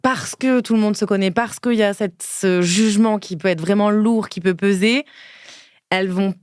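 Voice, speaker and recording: A woman in her late twenties from Ardèche, a podcast guest who talks thoughtfully with many pauses and fillers. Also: medium pitch, darkish tone, smooth, no room sound, clean studio sound. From Ardèche, France. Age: late 20s. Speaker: guest, feminist speaker and lawyer. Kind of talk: casual podcast conversation.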